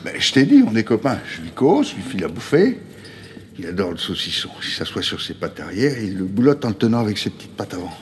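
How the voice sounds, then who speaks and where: Gruff, volatile homeless drifter, swooping intonation. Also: male voice, lowish voice, big pitch swings, quick pace, slight background noise. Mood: fond, easygoing. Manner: colloquial, gruff, emotionally volatile. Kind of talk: naturalistic French radio drama acting.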